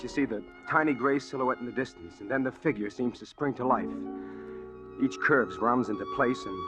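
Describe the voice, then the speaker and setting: Lonely, awkward city man played by a male actor, lowish voice, brooding and plainspoken, vintage radio drama with music. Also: lightly acted. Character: lonely, wistful, awkward city guy, drifting and homesick. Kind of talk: 1940s radio drama acting, first-person narration. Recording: old 1940s radio broadcast recording.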